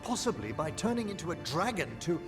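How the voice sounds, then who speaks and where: An actor playing a knowing fantasy game character who explains lore and rumor expressively. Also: male voice, on the high side, sing-song, a little fast, music in the background. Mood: intrigued. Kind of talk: video game voice acting.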